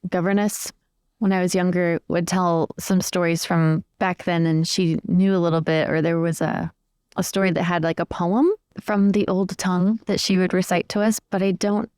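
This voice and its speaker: A woman's voice: lowish voice, steady tone, moderate pace, a little mellow, no echo, studio quality, lightly acted. Woman playing a curious, chatty young lady. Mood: inquisitive. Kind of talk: improvised in-character roleplay dialogue.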